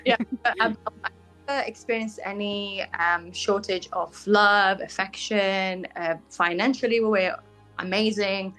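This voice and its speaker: A young woman from Syria with a British accent and Arabic as her first language, frankly answering interview questions and often pausing with "um" as she looks for words. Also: medium pitch, fairly even intonation, fairly bright tone, soft background music, poor audio. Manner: frank, fills pauses with "um", searches for words. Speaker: guest, bridal fashion designer.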